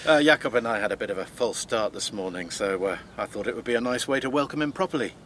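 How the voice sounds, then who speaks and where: A man's voice: mid-range voice, animated, fast talker, fairly bright tone, a little hiss or hum, lightly acted. An actor playing a hesitant, well-meaning village vet in a radio drama, stumbling over his words with ums. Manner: hesitant, awkward, full of ums. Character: awkward well-meaning village vet, middle-aged man.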